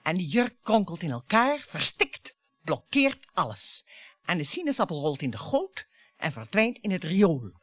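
Taunting bitter wife in a radio drama, very animated, dry sound, lingers on words. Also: female voice, fairly low pitch, unhurried, no echo, exaggerated voice. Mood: brooding. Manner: theatrical, provocative, dwelling on words with dramatic repetition. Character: bitter, taunting wife dredging up old family grievances. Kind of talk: radio play acting.

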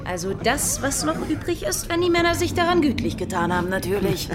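Firm, higher-voiced pirate woman. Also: very animated, a little fast, noisy background. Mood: matter-of-fact. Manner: firm, earnest. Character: level-headed, wary female pirate. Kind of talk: German radio drama acting. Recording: studio radio drama with loud music.

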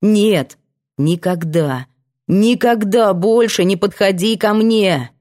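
A woman's voice: mid-range voice, slow pace, a little mellow, natural voice. Woman acting a proud, defiant young female student. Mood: angry, defiant.

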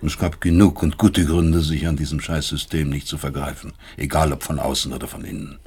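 An actor playing a bitter, brooding middle-aged doctor and professor in a German radio drama, speaking deliberately and rhetorically with sardonic emphasis. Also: male voice, a bass voice, flat delivery, a little mellow, slightly rough, heavily acted. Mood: accusing, emphatic. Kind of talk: German radio drama acting, dialogue and inner monologue.

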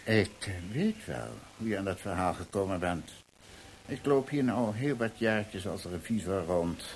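An actor in a radio play voicing a scornful, moralising, nosy theatre official, disdainful and knowing. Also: male voice, lowish voice, measured pace, lightly acted. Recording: noisy archived radio play recording.

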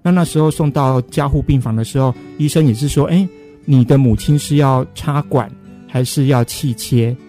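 A man's voice: mid-range voice, moderate pace, dark tone, faint music behind. A man with a Taiwanese accent hosting a conversational radio interview, asking probing questions. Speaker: radio host.

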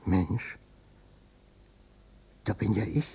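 A man's voice: on the low side, lively intonation, drawn-out words, a little hiss or hum. An actor playing a stubborn, evasive old father and former wartime policeman with a short temper, in a German radio drama. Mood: amazed, absorbed. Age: older. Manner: blustering, repetitive, swinging from muttering to shouting.

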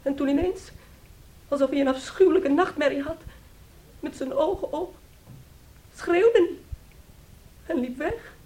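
Very high, slow-spoken, plaintive young woman. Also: warm tone, rough recording. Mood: hurt, earnest. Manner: plaintive, hesitant, earnest. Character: fragile, anxious young woman, pleading niece. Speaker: radio drama actress. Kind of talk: Dutch radio play acting.

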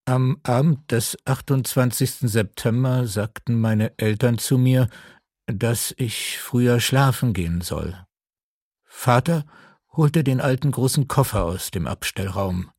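Grave, creaky-voiced male survivor. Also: medium pitch, unhurried, a little mellow, no room sound, studio quality. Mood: tender, sorrowful. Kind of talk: documentary radio drama testimony monologue.

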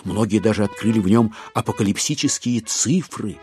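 A man narrating a classic satirical tale in a measured, ironic literary manner. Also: on the low side. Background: music.

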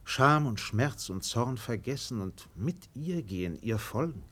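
Slow, expressive male radio play narrator. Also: mid-range voice, breathy edge. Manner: solemn, measured, with dramatic pauses.

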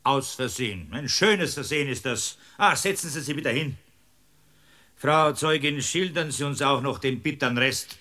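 An actor playing a brisk, wry Bavarian district judge, commanding and dryly amused, in a radio courtroom comedy. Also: male voice, medium pitch, bright, forceful tone, lightly acted. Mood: stern, dry.

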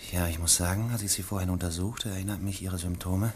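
A man acting as a composed chief physician in a German radio mystery, speaking in a deliberate, clinical manner. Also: low voice, fairly even intonation, husky. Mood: grave, clinical. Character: composed male chief physician giving a careful diagnosis.

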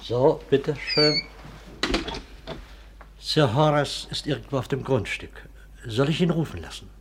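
An actor in a 1950s German radio play playing a discreet, deferential, very proper household servant. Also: male voice, mid-range voice, a little slow, slight background noise, close to an everyday voice.

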